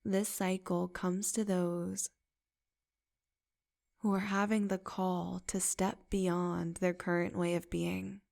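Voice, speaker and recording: Brief: woman, meditation guide, very slow delivery, gravelly fry, soft affirming tone. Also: lowish voice, little pitch movement.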